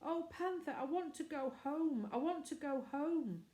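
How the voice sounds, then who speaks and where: Woman as a high, fretful little girl. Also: unhurried, dark tone. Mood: whining, upset. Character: fretful tearful little girl, whining for home. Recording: echoey room.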